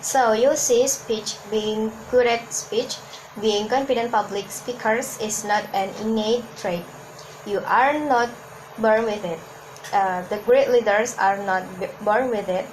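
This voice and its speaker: A woman from Hong Kong, a native Cantonese speaker, coaches viewers on confident speaking in an earnest, steady way, often restarting a word mid-phrase. Her voice sits a little high, with a faint hum of room noise behind her. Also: measured pace, rough recording. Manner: earnest, repeats and restarts words. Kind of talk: instructional talk to camera.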